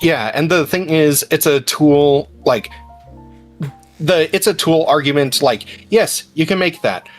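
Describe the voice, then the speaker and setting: Halting man in casual chat. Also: a little high, faint music behind.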